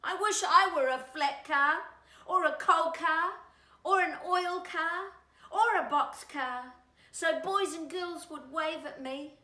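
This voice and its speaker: A sad little storybook caboose, acted out by a woman, pitched high and bright. It is plaintive and self-pitying, with exaggerated feeling, in a roomy echo. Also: takes it slowly, heavily acted. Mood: wistful. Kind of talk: children's storybook character.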